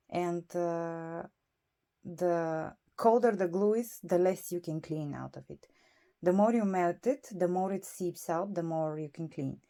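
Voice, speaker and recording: Woman, non-native english, low pitch, mellow tone, casual hesitant explaining. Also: no room sound. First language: not English. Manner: hesitant, frequent fillers and self-corrections. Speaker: doll collector. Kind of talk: casual tutorial.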